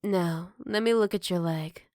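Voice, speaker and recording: A woman playing a gentle, caring motherly werewolf in an ASMR roleplay, soft-spoken with hesitant pauses and tender teasing. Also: lowish voice, no echo, clean studio sound, close to an everyday voice. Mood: calm, matter-of-fact. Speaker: voice actor. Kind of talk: ASMR roleplay addressed to the listener.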